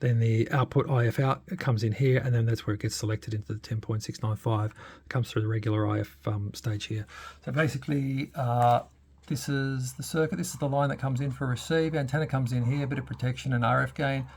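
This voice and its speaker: A man's voice: medium pitch, fairly even intonation, a little fast, creaky, darkish tone. A man giving a casual, unscripted hands-on tech update as a hobbyist, thinking aloud and hesitating as he goes. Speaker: hobbyist presenter giving a radio modification demo.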